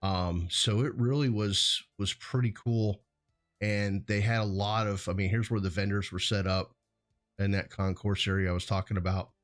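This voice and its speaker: A man's voice: lowish voice, fairly even intonation, normal speed, soft background music. A man co-hosting a show in a casual, rambling chat, often correcting himself as he goes. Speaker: co-host of a hazardous materials show.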